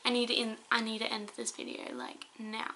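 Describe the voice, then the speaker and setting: A young woman rants to her viewers in a heated, rambling way. She sounds out of breath and hoarse, as if her voice is giving out. Her tone is bright and sharp, set a little high, yet it barely rises or falls. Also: flat delivery, a little fast. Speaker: vlogger. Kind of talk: angry opinion rant to camera.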